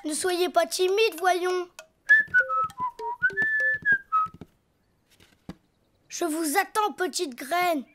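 A performer voicing a cheerful, eager little boy donkey in a preschool cartoon, with a childlike, expressive delivery. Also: very high voice, slow, noisy background. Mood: coaxing. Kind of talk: preschool cartoon voice acting in French. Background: cartoon music.

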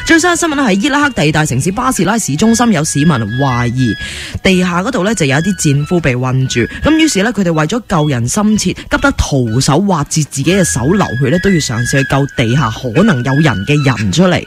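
A woman's voice: low-pitched, very animated, quick pace, music in the background. A woman with a Hong Kong Cantonese accent presenting as a radio host. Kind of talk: radio chat and scripted presentation.

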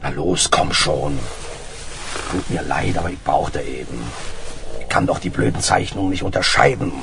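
A bossy petty crook from Berlin in a children's radio play. His voice is very deep and creaky, full of scorn and impatience, on a noisy old record. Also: normal speed. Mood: gruff, dismissive. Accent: Berlin German.

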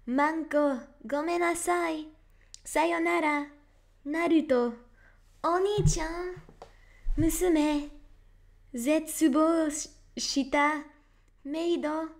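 A cutesy anime-girl voice, pitched high and sugary, as a young woman puts it on. It drawls slowly and trails off into giggly ums. The talk stays quiet, as in a room late at night. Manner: hesitant, giggly, trailing off into fillers.

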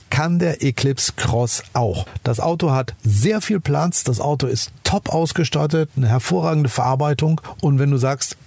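A man's voice: mid-range voice, a little mellow, slightly rough, no echo. A man with a German accent hosting a car podcast, chatting enthusiastically and speaking straight to the listener. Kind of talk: casual car review monologue.

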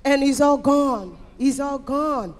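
Middle-aged woman, high-pitched, emphatic testimony to a congregation, reverberant hall PA. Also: slow pace. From Equatorial Guinea. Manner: emphatic, declamatory, repeating phrases. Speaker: church member giving testimony. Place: church auditorium. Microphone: handheld microphone. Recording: echoey hall PA with music behind.

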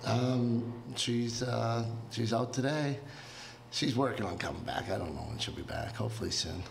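A middle-aged man, a head coach, answering reporters at a post-game press conference into a table microphone, measured and hesitant with many fillers. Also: medium pitch, gravelly, a little hiss or hum. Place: press room before a sponsor backdrop.

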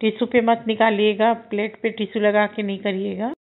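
Female cooking-channel host, calm and instructive, talks through every step as she cooks. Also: medium pitch, a little fast, narrow, tinny audio. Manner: calm, instructive, narrates each action as she does it.

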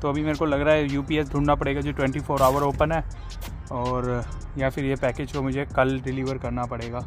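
A man's voice: mid-range voice, flat delivery, brisk. A man in his thirties, a travel vlogger talking casually to the camera from inside his van, chatting with viewers like friends. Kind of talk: casual vlog talk to camera. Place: inside a van while driving. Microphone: camera held at arm's length. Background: loud background music.

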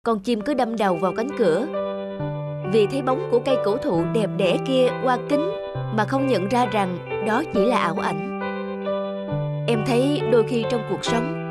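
A woman voicing a gentle, optimistic young cartoon wife, patient, content and reassuring in manner. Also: on the high side, natural voice. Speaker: voice actor. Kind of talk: cartoon dialogue dub.

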